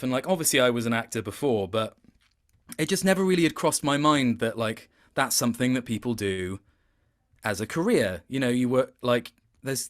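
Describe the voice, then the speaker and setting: A man chatting as an interview guest about his work, reflective, often restarting and correcting himself mid-sentence. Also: medium pitch. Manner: reflective, self-correcting, halting phrasing. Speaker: interview guest, video game voice actor. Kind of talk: casual interview chat.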